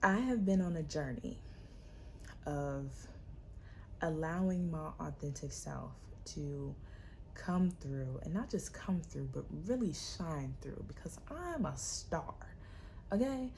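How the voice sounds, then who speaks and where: A young woman hosting a solo podcast, talking in a sassy, emphatic way with a loud, projecting voice. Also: low-pitched, slow, slight background noise. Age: young adult. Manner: animated, sassy, emphatic repetition. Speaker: podcast host. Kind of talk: casual solo podcast talk.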